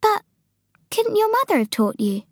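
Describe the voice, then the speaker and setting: A woman's voice: very high-pitched, sing-song, moderate pace, no echo, studio quality, pitched above the performer's own voice, exaggerated voice. A woman voicing a polite, curious young girl in a storybook, full of questions. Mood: curious, puzzled.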